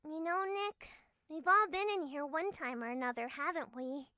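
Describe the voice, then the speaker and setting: A woman playing an excitable, loyal teenage girl sidekick who blurts out questions in an anime-style audio drama. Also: sits high, normal speed, no room sound, clean studio sound. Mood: hesitant.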